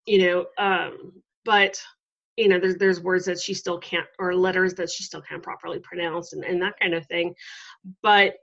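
A middle-aged woman hosting an interview, talking in a relaxed, reflective way with lots of ums and you-knows. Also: fairly low pitch, normal speed, gravelly. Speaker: podcast host. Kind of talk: conversational interview. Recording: dull lo-fi audio.

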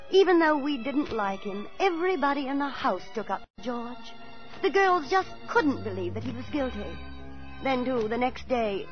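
An actress playing a prim, motherly middle-aged landlady who tells her story in measured 1940s radio drama style. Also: medium pitch, natural voice. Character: Miss Leonora Plim, prim motherly boarding-house landlady telling her story. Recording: muffled 1940s radio broadcast, faint music.

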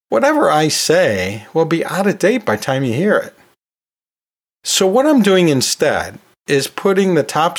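A man hosting an educational podcast solo, talking in a conversational, folksy way with joking asides. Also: on the high side. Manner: folksy, jokey asides with chuckles. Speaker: podcast host, anatomy and physiology teacher.

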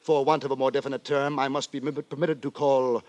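An actor playing an earnest, moralizing gentleman writer who narrates in florid, theatrical style in a 1950s radio drama. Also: mid-range voice, flat delivery, a little fast, dry recording, close to an everyday voice. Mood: earnest reproach. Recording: 1950s radio broadcast with music.

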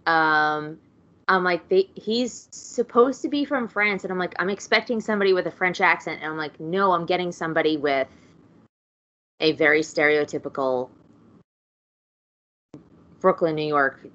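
A woman's voice: on the low side, moderate pace, long pauses, a bit bright. A woman chatting casually about a book, rambling through asides and many fillers. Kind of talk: casual chat. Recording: dull lo-fi sound.

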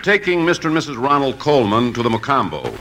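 A man playing a solemn, earnest assistant tax agent in 1950s radio comedy, formal, deliberate and straight-faced. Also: mid-range voice, very animated, fairly bright tone. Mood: earnest, official. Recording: hissy 1950s radio recording.

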